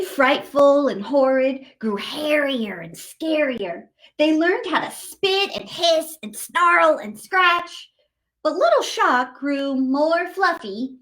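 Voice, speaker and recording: High, animated woman reading to children. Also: rough recording. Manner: expressive, chatty asides to young listeners. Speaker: storytime host reading to children. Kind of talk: animated picture-book read-aloud.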